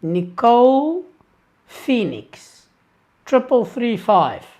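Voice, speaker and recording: Slow, deliberate South African man. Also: very high voice, big pitch swings, a little mellow, highs cut off. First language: Afrikaans. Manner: deliberate, earnest, halting with restarts. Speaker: religious YouTuber answering viewer questions. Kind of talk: live-stream Q&A.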